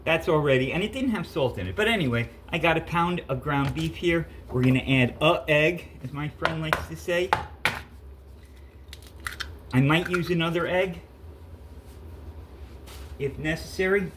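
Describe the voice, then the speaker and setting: A man's voice: fairly high pitch, measured pace, long pauses, fairly bright tone, a little hiss or hum. A man in his fifties with a stuffy cold, rambling and correcting himself as he cooks in his home kitchen. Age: 50s. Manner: rambling, self-correcting, chatty. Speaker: home cook vlogger. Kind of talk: casual cooking vlog.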